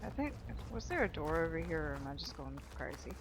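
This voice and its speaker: A woman's voice: sits low, very animated, fairly quick, background noise. A woman giving casual gameplay commentary, musing aloud in folksy, informal phrasing. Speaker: gamer, let's play host. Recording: game music behind voice.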